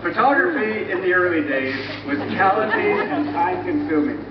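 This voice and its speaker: High-voiced man narrating from far off, echoey. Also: expressive, normal speed. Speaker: narrator of a live historical dramatization. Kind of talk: scripted narration, explanatory presentation. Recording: muffled, echoey distant recording with clear noise.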